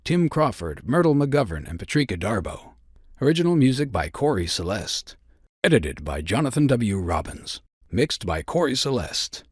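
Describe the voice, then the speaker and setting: A man reading scripted podcast credits as an announcer, with a husky voice. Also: mid-range voice, dry recording, studio quality.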